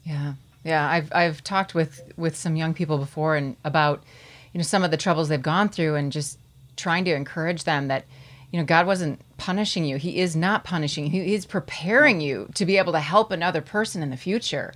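A woman's voice: deep voice, a little fast. A woman hosting an interview, encouraging and affirming her guest. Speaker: interview host. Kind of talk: casual interview talk.

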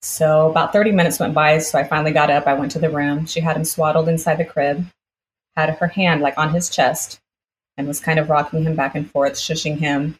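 A woman's voice: deep voice, flat delivery, brisk, slight background noise, rough recording. A woman from the United States, born in Brazil, telling a personal story conversationally as an interview guest, quoting people as she goes.

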